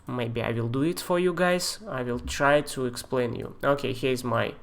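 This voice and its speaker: A man with a Russian accent and Russian as his first language, teaching a live-streamed screen tutorial in a halting way, with fillers and non-native grammar. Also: mid-range voice. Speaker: software tutor.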